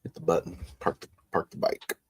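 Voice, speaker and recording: A man improvising first-person narration in a tabletop roleplaying game, hesitantly piecing his actions together. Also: a little fast.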